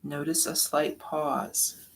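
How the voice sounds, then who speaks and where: A meditation guide giving soft-spoken, drawn-out breathing instructions with long pauses between phrases. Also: medium pitch, flat delivery, gravelly, rough recording.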